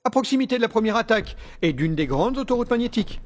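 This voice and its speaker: A man's voice: very high-pitched, expressive, fast, slight background noise. A man playing a cunning, courtly scientist villain in an audio drama, speaking in a formal, theatrical, condescending way with veiled menace. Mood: solemnly persuasive. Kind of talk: scripted audio drama acting.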